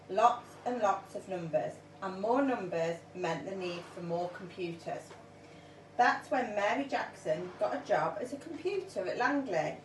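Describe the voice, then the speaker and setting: Echoey, distant woman reading a story. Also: mid-range voice. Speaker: school staff reader. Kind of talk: reading a children's story aloud. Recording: echoey room, distant mic, faint noise.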